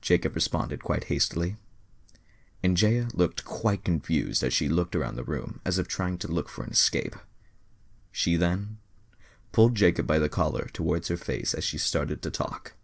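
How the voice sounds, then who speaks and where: Very low-voiced, gravelly man reading aloud. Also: lively intonation, moderate pace, no room sound. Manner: exasperated, sweary commentary on the text. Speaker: reader of fan fiction. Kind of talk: dramatic reading with casual profane asides.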